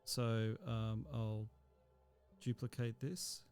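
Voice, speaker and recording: Flat mellow guy teaching a music app, talks it through step by step, lots of ums. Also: mid-range voice, extremely slow. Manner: thinking aloud step by step, with frequent ums. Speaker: music technology instructor. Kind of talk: screen-recorded software tutorial.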